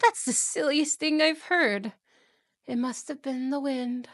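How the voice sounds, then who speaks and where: A woman's voice: high voice, measured pace, fairly bright tone, dry recording, clean studio sound. A woman playing a haughty, cruel evil queen and wicked stepmother, imperious, scolding and condescending, in a fantasy audio roleplay. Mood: dismissive, mocking. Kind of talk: fantasy ASMR roleplay acting.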